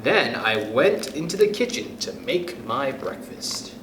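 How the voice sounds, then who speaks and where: Expressive man in an echoey room. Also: mid-range voice, measured pace. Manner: expressive, addresses young listeners directly. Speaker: children's storytime host. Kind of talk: picture book read-aloud for children. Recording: roomy echo with faint background noise.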